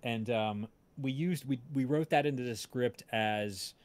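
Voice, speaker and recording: A man hosting a casual livestream chat, talking in a halting way as he searches for words. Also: medium pitch. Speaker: host of a cast and crew livestream, audio drama writer.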